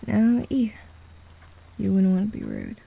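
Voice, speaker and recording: A woman's voice: medium pitch, huge pitch swings, unhurried, gravelly, warm tone, husky, full character voice. A woman voicing a possessive female demon in an ASMR roleplay, talking down to her human pet in a teasing, condescending way, often whispering. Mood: domineering. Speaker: voice actor.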